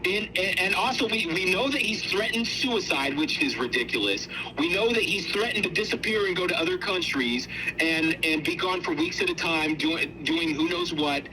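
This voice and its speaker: A man's voice: high voice, expressive, moderate pace, bright, forceful tone, slight background noise, poor audio. A man giving casual, opinionated commentary in an indignant, emphatic way, often repeating himself for force. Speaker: co-host.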